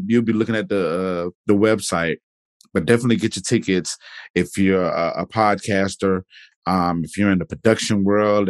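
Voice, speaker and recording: A man with a Jacksonville, Florida accent hosts a podcast, talking in a relaxed way with lots of fillers and restarts. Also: sits low, dry recording, studio quality. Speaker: podcast host. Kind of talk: casual interview intro.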